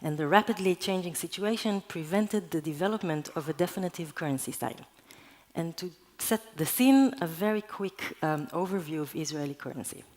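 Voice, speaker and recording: Hebrew-native woman in her twenties or thirties, lower pitch, earnest lecturing delivery. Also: moderate pace, a little mellow. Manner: earnest, explanatory, with occasional restarts. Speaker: conference speaker. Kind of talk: conference talk presentation. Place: conference hall.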